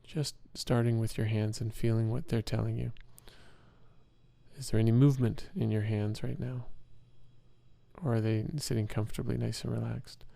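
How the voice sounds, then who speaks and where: A man leading a guided meditation as an instructor, speaking in a soothing, measured and gentle way with pauses. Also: mid-range voice, level tone, gravelly, no echo.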